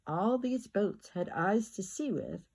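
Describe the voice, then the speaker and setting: An older woman with a husky voice narrates a children's story at a very unhurried pace. She reads expressively, stretching out words and leaning on repeated phrases, as a grandmother would. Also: mid-range voice. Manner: slow, expressive storytelling with drawn-out words and emphatic repetition. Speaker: grandmother reading aloud. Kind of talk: children's picture book narration.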